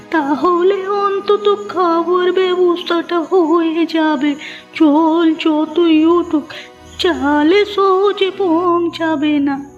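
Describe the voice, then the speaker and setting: A woman performs an old village granny from a cartoon fable, stubborn and kind. The voice is extremely high and stays on a narrow band of pitch. It moves slowly and earnestly, as if every warning matters. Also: exaggerated voice. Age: 70s character. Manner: slow, earnest, insistent pleading. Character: frail, stubborn, kindly old village woman who warns. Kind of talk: cartoon moral-story voice acting. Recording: muffled audio with music behind.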